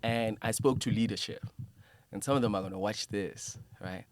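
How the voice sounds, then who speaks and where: A man's voice: fairly low pitch, moderate pace, no echo, studio quality. A man hosting a casual conversational interview in an encouraging, affirming manner. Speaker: interviewer, host.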